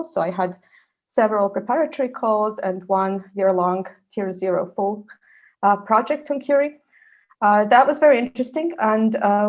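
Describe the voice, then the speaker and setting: A woman's voice: medium pitch, darkish tone. A woman from Serbia, a research fellow in her thirties, giving an online scientific presentation with frequent fillers.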